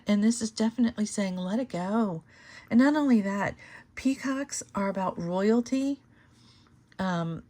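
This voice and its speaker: A woman hosting her channel, giving a casual tarot reading to camera, slightly hoarse from losing her voice, thinking aloud with many fillers and restarts. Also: medium pitch, darkish tone. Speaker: tarot reader and channel host.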